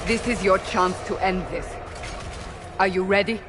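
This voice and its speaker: A woman's voice: medium pitch, fairly even intonation, measured pace, background noise, lightly acted. An actress playing a stern, determined woman warrior who leads with urgency in a fantasy game. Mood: commanding. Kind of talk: dramatic fantasy game acting. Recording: music behind the voice.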